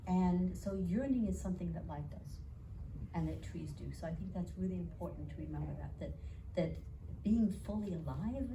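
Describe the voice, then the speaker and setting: A woman's voice: lowish voice, moderate pace. A woman from New York City with a New York accent, an educator and author thinking aloud and telling stories with frequent fillers and restarts in a conversational interview. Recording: distant mic with clear background noise.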